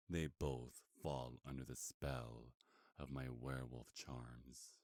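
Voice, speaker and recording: A cocky werewolf hunter acted by a man with an extremely deep, booming voice, recorded dry and close. He taunts his captive with slow, smug menace in an ASMR roleplay. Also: a little mellow, no echo, heavily acted. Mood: smug, amused.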